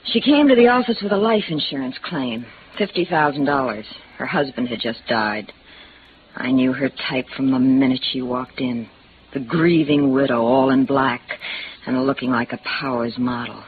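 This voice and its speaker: A woman acting a wistful, bitter secretary in love, earnest and halting, in 1950s radio drama style. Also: extremely low pitch. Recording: dull, noisy vintage radio recording.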